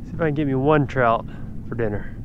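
A man's voice: mid-range voice, fairly quick. A man giving casual running commentary while fishing, talking to himself outdoors on a small boat. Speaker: fishing vlogger. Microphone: body-worn action camera. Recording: muffled action camera audio with clear outdoor boat noise.

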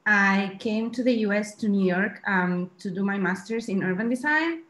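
A woman's voice: mid-range voice, normal speed, fairly bright tone. Woman from Spain, plain-spoken panelist, echoey call audio. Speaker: panelist, designer. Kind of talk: casual panel talk. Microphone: video call.

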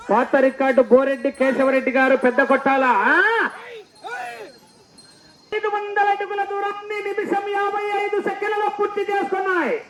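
A male race announcer. He delivers live commentary in a loud, declamatory style, calling out announcements and rallying cries. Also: very high-pitched, muffled recording. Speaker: announcer at a bull-pulling race.

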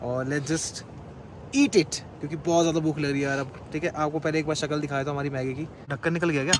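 A man's voice: on the high side, steady tone, fast. A young man chatting upbeat to viewers in a travel vlog, outdoors by a river in the snow. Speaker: travel vlogger. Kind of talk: casual vlog chat. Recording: outdoor recording with loud wind noise.

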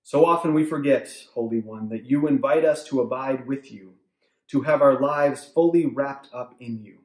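Earnest pastor in an echoey room. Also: male voice, mid-range voice. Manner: earnest, measured, softening to a hushed prayer. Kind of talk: sermon with closing prayer. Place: home room with bookshelves, indoors.

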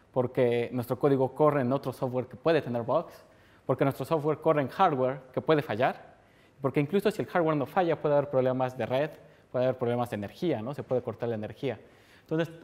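A Mexican man in his thirties speaks at a mid pitch, walking a seated audience through a technical topic. He poses questions and answers them himself. He is picked up in a lecture room from a distance. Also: darkish tone. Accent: Mexican Spanish. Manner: explanatory, asks and answers his own questions. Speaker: conference speaker. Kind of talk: technical conference talk.

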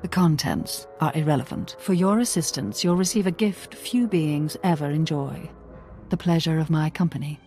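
A woman's voice: deep voice, darkish tone, lightly acted. An actress playing a vain, haughty, seductive female Sith villain in a video game, with a silky manner.

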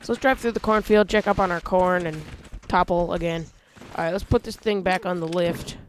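A man hosting a casual gameplay video, talking through how he builds a vehicle, with excited yelps when he drives it. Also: sits high, a little fast, a little hiss or hum. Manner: chatty, explains while building, excited yelps when driving. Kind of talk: casual let's-play commentary.

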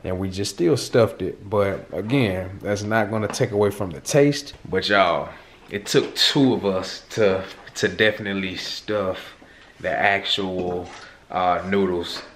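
A man's voice: sits low, measured pace, fairly bright tone, slight background noise. A man in his thirties hosting a casual cooking vlog at home, chatting to viewers in laid-back slang. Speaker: vlog host. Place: home kitchen and living room, indoors. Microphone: handheld camera at arm's length.

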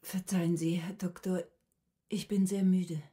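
An actress playing a subdued, withdrawn cook in her thirties, answering quietly and matter-of-factly in a radio drama. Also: on the low side, flat delivery, close to an everyday voice. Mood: withdrawn, weary. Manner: quiet, halting, reticent, matter-of-fact. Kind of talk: German radio drama acting.

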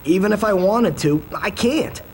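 High-voiced cocky young alien. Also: male voice, lively intonation, normal speed, darkish tone, slight background noise. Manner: casual, cocky. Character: brash, youthful male furry alien mechanic. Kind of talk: video game cutscene acting.

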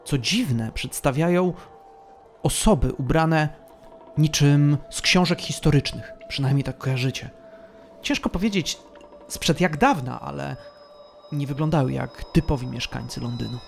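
A man narrating a tabletop role-playing game as game master, telling the scene slowly and atmospherically. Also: fairly high pitch, vocal fry, slight background noise.

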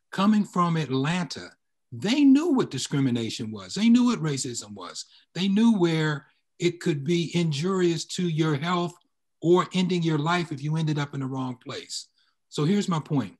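An older man on a video call, explaining as a panelist in a measured, deliberate and emphatic way. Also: on the high side, a little mellow. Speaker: panelist, history researcher. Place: indoor room on a video call.

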